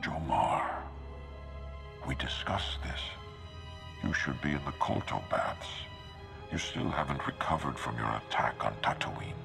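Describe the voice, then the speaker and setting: A man voicing a venerable, confident and idealistic Jedi master in a video game, measured and solemn with a gravelly voice. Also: rumbling low, exaggerated voice. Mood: solemn, persuading. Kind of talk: video game cutscene voice acting. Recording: loud music behind.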